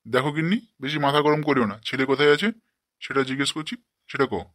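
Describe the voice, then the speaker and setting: A man voicing a short-tempered, foul-mouthed village father in a comic cartoon, gruff and scolding. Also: mid-range voice, quick pace, fairly bright tone, no room sound, clean studio sound, natural voice. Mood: irritated, suspicious.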